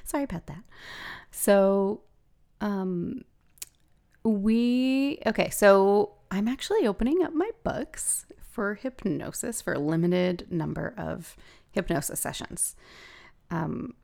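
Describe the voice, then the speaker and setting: A woman with a gravelly voice hosting a podcast, talking casually and haltingly as she thinks aloud. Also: mid-range voice, expressive, darkish tone. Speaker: podcast host and hypnosis practitioner. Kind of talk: casual solo podcast talk.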